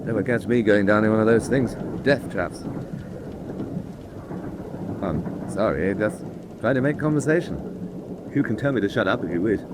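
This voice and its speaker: An actor playing an affable, talkative German army major in a radio drama, breezy and probing. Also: male voice, normal speed, long pauses, close to an everyday voice. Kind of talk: vintage-style radio drama acting. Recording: noisy track with rain-like rumble.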